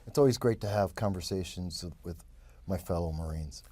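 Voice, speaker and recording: Low, husky New man in his fifties. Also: moderate pace, dark tone, dry recording. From the Bronx, New York. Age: 50s. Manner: rambling, frequent fillers and restarts. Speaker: guest. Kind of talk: casual interview talk.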